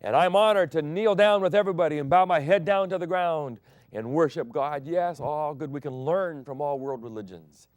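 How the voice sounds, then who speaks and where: A man preaching a broadcast sermon as pastor, swinging between loud emphatic declarations and soft hushed phrases. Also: fairly high pitch, normal speed, no room sound. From Thailand.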